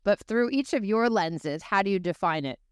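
A woman's voice: medium pitch, lively intonation, normal speed, clean tone, dry recording, clean studio sound. An American woman hosting an interview show in an upbeat, conversational way. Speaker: host.

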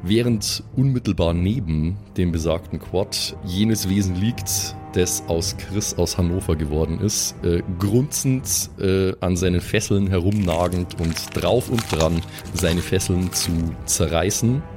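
A man with a fairly low voice tells an improvised tabletop adventure as its game master. Music plays clearly behind his narration. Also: measured pace.

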